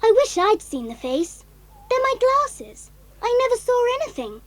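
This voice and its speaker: A woman's voice: very high voice, very animated, unhurried, full character voice. A woman playing an inquisitive, assertive schoolchild in a children's audio adventure drama. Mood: insistent.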